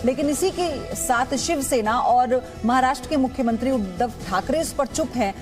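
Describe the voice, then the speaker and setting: A woman anchors a news broadcast in a high-pitched voice. She delivers it as broadcast news presentation, with prominent music playing behind her. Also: expressive, moderate pace.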